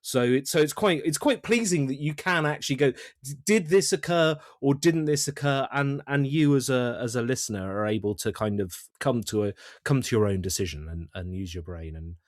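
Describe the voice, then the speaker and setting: Male podcast guest, medium pitch, very dry sound, halting and conversational, repeats words as he thinks. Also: dry recording. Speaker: writer-director, podcast guest. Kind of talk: casual interview talk.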